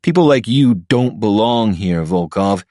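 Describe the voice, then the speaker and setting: A man's voice: on the low side, lively intonation, unhurried, dark tone, no room sound, clean studio sound, pitched above the performer's own voice. A man voicing a smug, pompous young man who sneers and blusters at his rival. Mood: sneering. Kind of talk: audiobook character voice.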